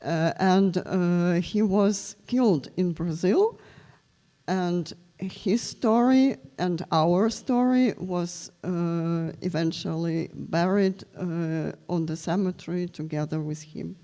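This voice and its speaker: An interpreter rendering a guest's words in slow speech broken by many pauses. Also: medium pitch, warm tone. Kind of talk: consecutive interpretation.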